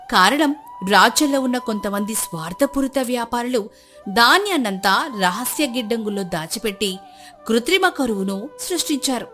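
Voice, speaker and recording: A woman's voice: fairly high pitch, fairly bright tone. A woman reading scripted narration of a folk tale as its storyteller.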